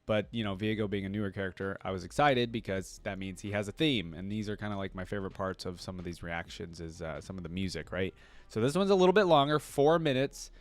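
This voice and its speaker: A man's voice: low-pitched, quick pace, no room sound. A man giving casual reaction commentary as a host, informal and thinking aloud in questions and exclamations. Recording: music playing behind the voice. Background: instrumental theme music with piano and choir.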